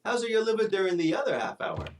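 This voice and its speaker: Chipper goofy sidekick, highish lively voice, radio farce. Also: male voice, quick pace, exaggerated voice. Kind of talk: old-time radio comedy recreation.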